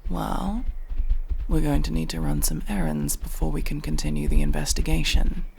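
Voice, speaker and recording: A gravelly elf mercenary voiced by a man for a fantasy audio roleplay, guarded but gruffly protective. The pitch sits a little high for a man and moves freely, often dropping into heavy fry. The delivery is dry and matter-of-fact. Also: wildly swooping pitch, normal speed, a little hiss or hum, exaggerated voice. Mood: businesslike.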